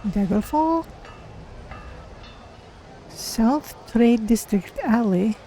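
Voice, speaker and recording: A woman voicing a curious, polite cat-person adventurer, musing in measured, formal words as she plays a video game. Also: fairly high pitch, lively intonation, warm tone, slight background noise, low-quality recording. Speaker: game streamer. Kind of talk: in-character gameplay commentary.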